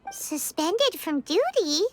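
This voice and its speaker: A tiny, nosy fairy sidekick from a video game. The voice is extremely high, squeaky and childlike, swinging about expressively, with faint noise behind it. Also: female voice, slow, heavily acted. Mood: surprised. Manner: refers to self in third person, expressive. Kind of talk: video game character dialogue.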